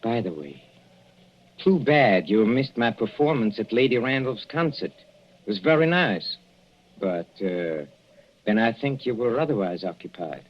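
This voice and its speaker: A slow, gloating, husky villain professor. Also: male voice, on the low side, big pitch swings. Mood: gloating, vengeful. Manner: mock-courteous, chuckling, savoring hesitations. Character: gloating murderous professor, smugly polite older villain. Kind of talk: 1940s radio suspense acting. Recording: muffled, dull old radio recording.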